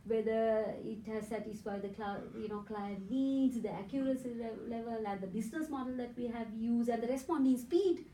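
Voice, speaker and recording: Sri lankan lady giving a conference talk, mellow fairly high voice, mic a bit far off in an echoey room. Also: fairly even intonation, normal speed, hardly any pauses, slight background noise. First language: Sinhala. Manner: explains step by step and often adds a checking "right?". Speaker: conference presenter and lecturer. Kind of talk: academic conference presentation.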